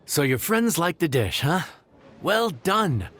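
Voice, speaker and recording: A man's voice: a little high, big pitch swings, slow, fairly bright tone, full character voice. A man voicing an encouraging, approving boss in a fantasy game. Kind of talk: animated fantasy game acting.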